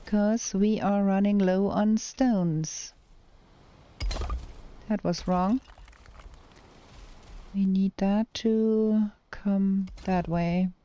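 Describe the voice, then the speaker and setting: A woman's voice: medium pitch, steady tone, dark tone, muffled recording, a little hiss or hum. A German woman with a German accent, talking through a video game on stream and answering chat, thinking aloud as she plays. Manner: slow, thinking aloud while playing. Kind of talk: casual gameplay commentary with chat replies.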